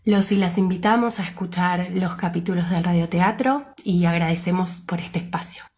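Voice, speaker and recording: Woman, member of an association, reads a formal prepared statement, measured. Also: lowish voice, darkish tone.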